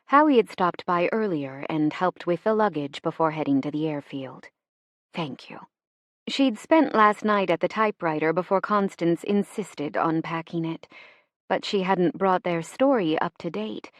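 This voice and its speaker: A woman reading fiction as an audiobook narrator in an expressive, measured, dramatic storytelling style. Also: on the low side, dry recording.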